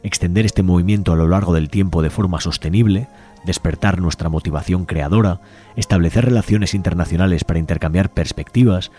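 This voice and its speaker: A man presenting a community radio show, reading out a manifesto and describing a project in a measured, slightly halting way. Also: low voice, dark tone, faint music behind. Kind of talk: community radio presentation, partly read aloud.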